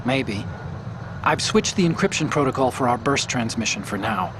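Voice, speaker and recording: An actor playing an eager male hacker who explains things quickly over a radio link. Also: mid-range voice, lively intonation, noisy background, natural voice. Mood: explaining. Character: chatty male tech support hacker.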